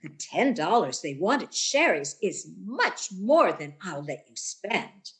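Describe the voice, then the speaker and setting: A woman's voice: lowish voice, sing-song, slow pace, a bit bright, full character voice. A woman playing a motherly older landlady in a radio sitcom, wheedling and guilt-tripping with martyred self-effacement.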